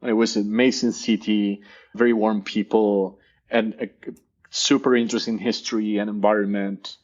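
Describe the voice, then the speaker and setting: A man's voice: on the low side, little pitch movement, moderate pace, darkish tone, dull audio. A man with an Argentinian Spanish accent, a native Spanish speaker, talking in a casual interview, enthusiastic and often repeating words as he searches for them. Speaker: guest, professor.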